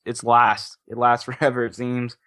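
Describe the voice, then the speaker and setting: A man chats casually on a podcast panel in loose, conversational phrases. His voice is bright and forward at a medium pitch, with a very dry sound. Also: flat delivery, normal speed, no echo. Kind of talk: casual chat.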